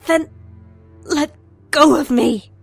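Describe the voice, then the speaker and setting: A woman's voice: high voice, slow, vocal fry, over loud music, full character voice. A woman playing a sly, teasing cat burglar in a comic-book audio drama, playful and taunting. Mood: defiant.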